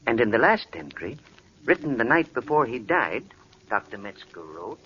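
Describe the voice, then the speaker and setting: An actor playing a worried, earnest hospital doctor in 1940s radio drama, speaking with dramatic urgency. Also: male voice, medium pitch, very animated, normal speed, sharp tone. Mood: ominous. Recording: muffled, faintly noisy old radio recording.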